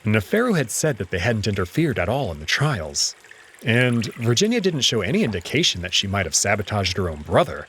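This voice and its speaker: A man's voice: lowish voice, big pitch swings, brisk, fairly bright tone, slight background noise, poor audio. A man reading a visual novel aloud as first-person dramatic narration.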